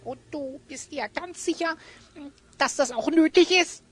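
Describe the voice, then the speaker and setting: A woman's voice: high voice, a little slow, a bit bright. A woman playing an anxious small sidekick in a German children's audio drama, fretful and full of questions. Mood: curious, doubtful.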